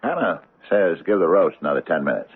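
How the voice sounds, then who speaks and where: Gravelly protective older dad, real deep, measured, dry dull old radio play sound. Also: male voice, big pitch swings, a little hiss or hum. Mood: genial. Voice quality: gravelly, husky. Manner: hesitant, measured. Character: kindly older father, hesitant, protective. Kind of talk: 1970s radio drama acting.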